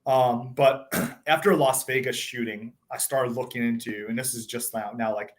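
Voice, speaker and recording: A man's voice: medium pitch, steady tone, normal speed, a little hiss or hum. Casual-talking man, tech founder. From born in Taiwan, raised in South Africa. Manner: conversational, many fillers and restarts. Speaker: tech startup CEO, guest. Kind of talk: casual interview talk.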